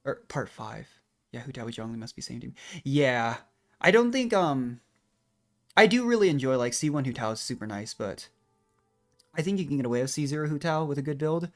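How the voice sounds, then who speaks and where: Male game streamer, medium pitch, casual chatty live commentary with a rambling, self-deprecating manner. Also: animated, brisk.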